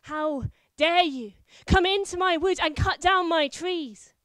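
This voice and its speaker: A woman's voice: extremely high pitch, slow pace, fairly bright tone, no room sound, clean studio sound, pitched above the performer's own voice, heavily acted. A woman voicing a fierce wife disguised as a knight, speaking in a commanding, menacing way.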